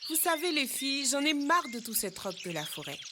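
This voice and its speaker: High-pitched, self-important cartoon animal fellow. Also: female voice, big pitch swings, a little fast, background noise. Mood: boastful. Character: self-important male animal, would-be modern businessman. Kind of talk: dubbed children's educational cartoon. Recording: music under the dialogue.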